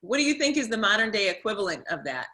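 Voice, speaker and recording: A woman's voice: medium pitch, sing-song, fast talker, sharp tone. A woman interviewing a guest in casual conversation, asking curious, probing follow-up questions.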